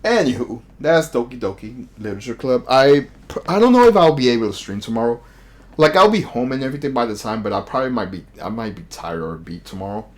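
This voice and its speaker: A man livestreaming a game, chatting casually in slangy, animated commentary and reading on-screen text aloud. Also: medium pitch, fast.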